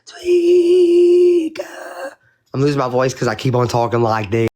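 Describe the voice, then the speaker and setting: Woman streamer, lively swooping pitch, rambling profane chat, slightly hoarse voice. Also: a little slow. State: losing her voice. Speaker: livestream host. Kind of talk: casual livestream chat.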